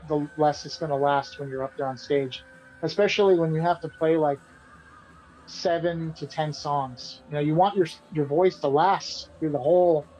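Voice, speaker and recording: A man, the band's singer and guitarist, answering interview questions in a relaxed, rambling way and often repeating himself. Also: on the high side, muffled recording. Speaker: band vocalist and guitarist being interviewed.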